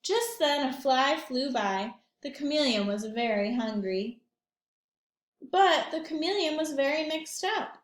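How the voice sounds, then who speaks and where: A woman's voice: high-pitched, animated, unhurried, echoey room. A woman reading a picture book aloud to young children as a teacher would, expressively, with questions and asides to her listeners.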